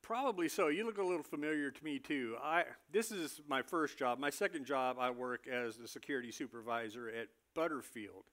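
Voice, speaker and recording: A man acts out a jittery, chatty doctor in a comic stage reading. The voice is medium-pitched, casual and confiding, with halting phrases. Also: expressive, fairly bright tone, natural voice. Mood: confiding, nervous. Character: chatty, jittery male doctor moonlighting as security supervisor.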